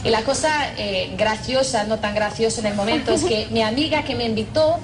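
Irish woman telling a lively testimony. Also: fairly high pitch, a little fast, crowd noise. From Northern Ireland. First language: English. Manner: lively storyteller who acts out quotes in a raised voice, self-mocking. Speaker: speaker giving a personal testimony. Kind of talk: humorous testimony talk to an audience. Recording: distant mic with audience and noise behind.

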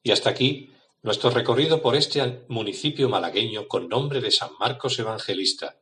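A man reading a scripted report on a town's festivals for a radio program, in an even, informative way. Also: medium pitch, normal speed. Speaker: radio contributor. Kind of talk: scripted local-history narration.

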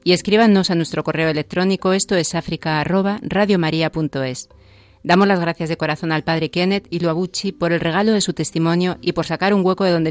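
A woman hosting a religious radio program, reading announcements and a closing in a calm, measured, devotional way. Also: on the low side. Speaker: radio host.

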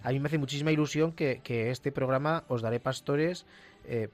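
A man's voice: medium pitch, a little mellow. A young man with a Castilian Spanish accent hosting a conversational radio interview, effusive and often repeating words as he goes. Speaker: radio host, seminarian. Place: radio studio. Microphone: studio microphone.

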